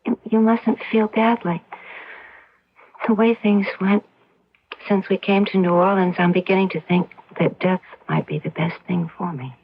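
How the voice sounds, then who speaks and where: An actress in an old radio drama playing a frail, dying wife, weak, weary and pleading. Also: female voice, mid-range voice, steady tone, normal speed, a little hiss or hum, natural voice. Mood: weary, resigned. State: weak, breathy. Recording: dull lo-fi radio drama recording.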